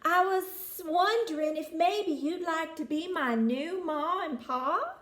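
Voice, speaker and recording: A woman voicing a shy, polite young male rattlesnake in a children's storybook, with drawn-out country courtesy. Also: very high voice, a bit bright, reverberant, pitched above the performer's own voice, full character voice. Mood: hopeful, polite.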